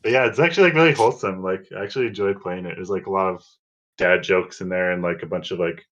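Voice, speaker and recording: Low-voiced man hosting, slightly muffled. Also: fast, a bit bright. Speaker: podcast host. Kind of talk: casual podcast chat.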